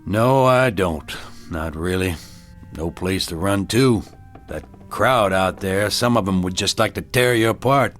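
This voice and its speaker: A low, gruff frontier sheriff who speaks plainly and with authority. His accent is American and his delivery is that of a western radio play. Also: measured pace, fairly bright tone, faint music behind. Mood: subdued, sympathetic. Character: stern, plain-spoken western town sheriff. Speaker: actor in a radio drama. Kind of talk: radio drama acting.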